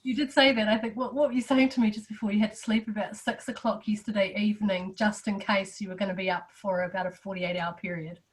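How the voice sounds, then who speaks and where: A woman hosts an interview with an animated, quick way of talking, and her voice sounds slightly croaky from a flu. She sits a little distant from the mic. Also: medium pitch. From New Zealand. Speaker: interview host.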